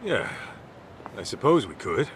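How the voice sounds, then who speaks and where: An actor playing a gruff, blunt middle-aged detective with a wounded heart and a halting delivery, in a video game cutscene. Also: male voice, lowish voice, very animated, a little hiss or hum. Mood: reluctant. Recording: studio voice with music behind.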